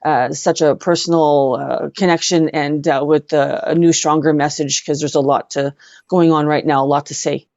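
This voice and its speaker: A woman's voice: low-pitched, steady tone, moderate pace, a little mellow, dry recording. A woman chatting casually as an interview guest, recorded through a phone. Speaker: guest, singer-songwriter. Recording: dull, dry phone audio.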